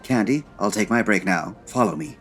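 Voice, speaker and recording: A man's voice: lowish voice, lively intonation, normal speed, a bit bright. Guy playing campy dramatic department store jack frost, effusive, swoopy voice, music under it. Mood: anxious, dramatic. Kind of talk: comedy audio drama acting.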